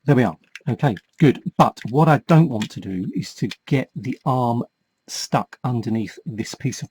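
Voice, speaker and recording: A man with a slightly husky voice giving a casual step-by-step repair demonstration, explaining patiently and a little hesitantly as he works with his hands. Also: mid-range voice, a little mellow, poor audio. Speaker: host of a toy repair tutorial.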